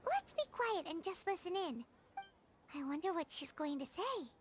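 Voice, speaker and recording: A woman voicing a tiny, childlike, curious companion creature in a video game, with a squeaky voice. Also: big pitch swings, moderate pace, noisy background. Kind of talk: scripted video game voice acting.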